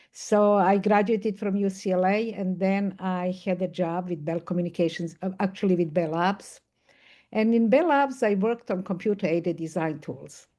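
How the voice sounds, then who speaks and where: Older woman from Serbia, low mellow voice. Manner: thoughtful, explanatory, with occasional laughs. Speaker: panelist, professor. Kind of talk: online panel discussion. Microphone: video call.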